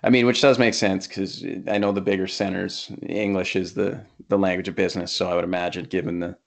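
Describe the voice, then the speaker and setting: Low, gravelly male host; laid-back, jokey banter on a video call. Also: flat delivery, brisk. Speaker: podcast host. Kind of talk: casual chat.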